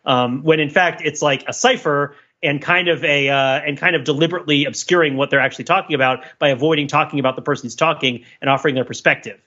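A bright, forceful man with a slightly high voice, talking casually as a podcast panelist. He digresses freely in wry, animated analysis. The sound is a little dull and lo-fi. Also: fast, hardly any pauses. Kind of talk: casual discussion, rambling pop culture analysis.

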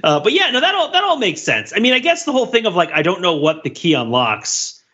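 A man chats casually as a podcast host. His voice sits a little high, with a forceful, bright edge and some huskiness. The recording is slightly dull and lo-fi. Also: sing-song, fast talker, husky. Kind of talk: casual chat.

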